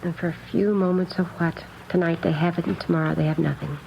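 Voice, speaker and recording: An actress playing an earnest, naive and very proper nun in a 1950s radio drama, speaking in careful, precise phrases. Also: female voice, fairly low pitch, fairly even intonation, fast talker, creaky. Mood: troubled, earnest. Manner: prim, proper, carefully enunciated. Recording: dull old radio recording, faint noise.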